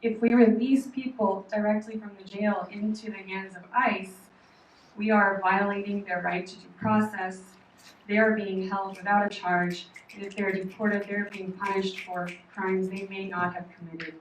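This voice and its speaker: A woman from Oklahoma giving a prepared public comment at a meeting, earnest, measured and firm. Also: mid-range voice, little pitch movement. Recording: echoey hall, distant mic, faint noise.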